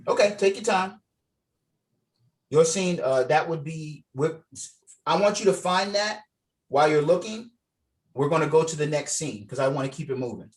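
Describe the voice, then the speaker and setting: Man, slightly high pitch, casual director, encouraging, video call, reads scene directions. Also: lively intonation, normal speed, long pauses. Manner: encouraging, informal. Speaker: director leading a table read. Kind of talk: casual meeting talk, reading out scene directions. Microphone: video call microphone.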